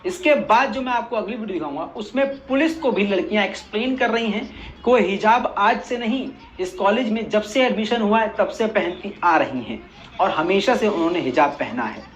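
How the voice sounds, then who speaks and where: A man's voice: sits high, animated, moderate pace. A man hosting scripted news commentary in a pointed, sarcastic way, addressing his viewers directly.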